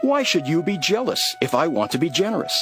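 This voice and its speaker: A man's voice: a little high, very animated, brisk, over music, pitched above the performer's own voice. A man voicing a firm, generous vineyard owner in a scripture drama, reasoning assertively. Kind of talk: acted parable in scripture drama.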